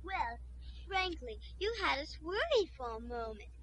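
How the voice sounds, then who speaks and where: A woman's voice: high-pitched, very animated, measured pace, a bit bright, full character voice. A woman voicing a snooty society lady with a put-on posh English accent, haughty and prim, who turns crude when angry. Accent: put-on posh English, then dropped. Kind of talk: comedy sketch acting.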